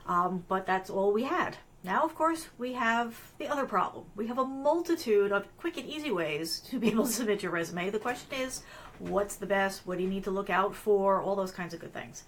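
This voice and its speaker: A woman's voice: mid-range voice, fairly bright tone. Woman running a livestream, chatty and rambly, lots of ums and restarts. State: voice strained from allergies. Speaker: host of a live career webcast. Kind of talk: casual live-stream talk with screen sharing.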